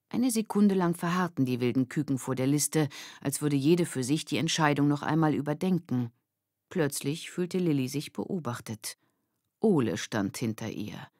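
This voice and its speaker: Low-voiced woman reading a children's story. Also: darkish tone, no echo, studio quality. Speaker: audiobook narrator. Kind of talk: expressive scripted narration of a children's story.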